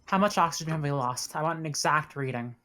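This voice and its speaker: A woman's voice: deep voice, fast, a bit bright, a little hiss or hum. A woman playing a tough, guarded, suspicious spaceship officer in a table read. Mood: insistent.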